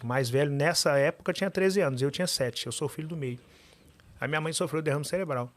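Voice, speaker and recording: A man, a podcast guest telling his life story with animated, emphatic repetition. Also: a little high, fast. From Minas Gerais, Brazil.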